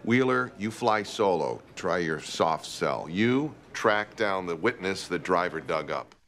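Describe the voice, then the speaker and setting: Tough TV police captain, lowish voice, brisk and commanding. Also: male voice, animated, a bit bright, slight background noise, full character voice. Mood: stern. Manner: curt, commanding. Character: stern male police captain giving orders. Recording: clip played from a TV drama, faint background.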